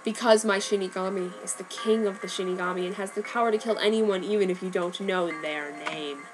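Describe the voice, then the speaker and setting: A woman's voice: medium pitch, steady tone, fairly quick, background noise, lightly acted. Woman doing a cool cocky young villain.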